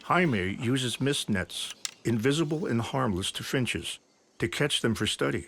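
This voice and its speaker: A man giving scripted, measured documentary narration as a studio voice-over. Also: medium pitch, animated, creaky, a bit bright, a little hiss or hum. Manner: measured, explanatory. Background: music.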